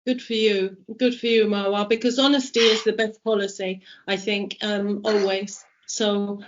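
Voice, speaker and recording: A woman's voice: mid-range voice, level tone, normal speed, dull audio, background noise. A woman interviewing a guest, encouraging and friendly, with hesitant restarts. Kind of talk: conversational interview.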